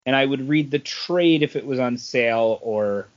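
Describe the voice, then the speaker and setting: A man's voice: mid-range voice, normal speed, lo-fi sound. A man co-hosting a podcast, giving his opinions on comic books in casual chat.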